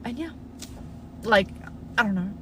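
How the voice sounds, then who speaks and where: A woman's voice: mid-range voice, expressive, measured pace. Aussie girl doing a live q&a from her car, lots of "like", chill and reassuring, road noise going behind her. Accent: Australian. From Australia. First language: Russian. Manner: chatty, frequent "like" fillers, reassuring advice-giver. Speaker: livestream host answering viewer questions. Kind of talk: casual live Q&A. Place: inside a parked car.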